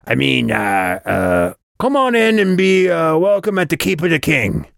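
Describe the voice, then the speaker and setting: A man's voice: on the high side, sing-song, a bit bright, no room sound, studio quality, voice pushed up, full character voice. A man voicing a squat, dim-witted, surly goblin guard who blusters and speaks in crude, muddled slang, in an audiobook story. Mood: grudgingly welcoming. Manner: blustering, halting, muddled speech with rough British-style slang.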